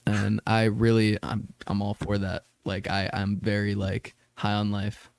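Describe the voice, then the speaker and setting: A man's voice: on the low side, monotone, measured pace, creaky, dry recording. A young man, a band member guesting on a podcast, rambling and joking with lots of filler words. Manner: rambling, many "like" and "you know" fillers, joking. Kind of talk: casual chat.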